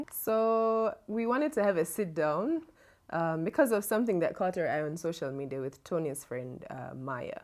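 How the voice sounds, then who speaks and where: Jamaican woman, co-host, relaxed chatty delivery, lower voice. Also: normal speed. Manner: chatty, laughs easily. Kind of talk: casual chat.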